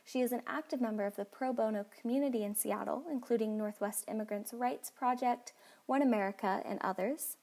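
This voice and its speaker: A woman hosting a podcast, reading a guest's bio in a clear, measured way before asking interview questions. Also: fairly high pitch, mellow. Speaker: podcast host. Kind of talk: read introduction and interview questions.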